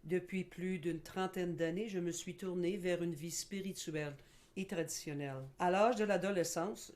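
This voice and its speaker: Middle-aged woman, low warm timbre, measured presenter pacing. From Quebec. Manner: slow, measured, with frequent pauses and fillers. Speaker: guest speaker. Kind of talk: webinar presentation; scripted narration in the video part.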